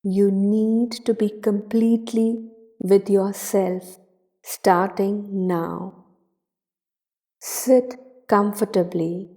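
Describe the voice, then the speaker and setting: Very slow, mellow woman guiding meditation. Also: mid-range voice, little pitch movement. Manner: soothing, deliberate, with long pauses between short phrases. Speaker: meditation guide. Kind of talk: guided meditation narration.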